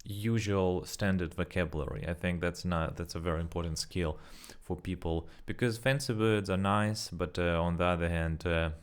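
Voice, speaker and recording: A young man with a low, smooth voice rambles to his viewers in English, which is not his first language. He talks loosely, with fillers and restarts as he goes. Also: moderate pace, few pauses. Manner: rambling, self-correcting, frequent fillers. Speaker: vlogger. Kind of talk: casual spoken practice vlog.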